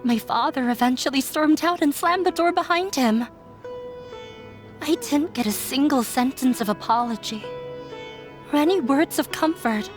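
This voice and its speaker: A woman's voice: sits high, moderate pace, soft background music, close to an everyday voice. An actress playing a dejected, self-sacrificing young woman in an anime game dub, confiding in a sorrowful, resigned way. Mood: hurt, sorrowful. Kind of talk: English-dubbed anime game voice acting.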